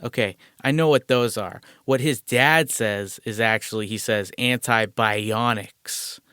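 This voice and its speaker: A man talks alone into a close podcast mic, rambling and profane, poking fun at himself as he goes. His voice sits fairly low and comes through smooth and resonant. The room is dead and dry, with no echo at all. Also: unhurried, bright, forceful tone. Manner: rambling, profane, self-deprecating asides. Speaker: podcast host. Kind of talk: casual solo podcast monologue.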